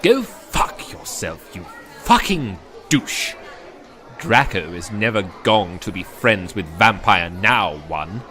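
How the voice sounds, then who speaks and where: A man voicing a hostile wizard in a parody cartoon, speaking with slow, forceful scorn. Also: on the low side, big pitch swings, a faint crowd, slight background noise, heavily acted. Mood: scornful anger.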